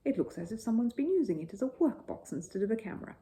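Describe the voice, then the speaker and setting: A woman's voice: a little high, sing-song, fast, dark tone, pitched above the performer's own voice. A woman voicing a grumbling, long-suffering father who protests, in a children's bedtime story. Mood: doubtful, brisk.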